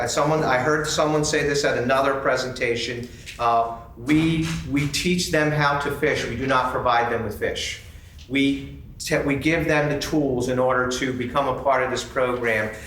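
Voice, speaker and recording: A man giving an informal, halting presentation to a group at a convention. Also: mid-range voice, a bit bright. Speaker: presenter at a fellowship convention workshop. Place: convention meeting room. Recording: roomy, distant mic with background noise.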